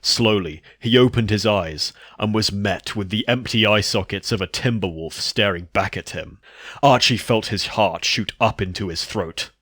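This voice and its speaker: Male narrator for fiction reading: fairly low voice, close and very dry sound, scripted delivery. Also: moderate pace, a bit bright, no room sound.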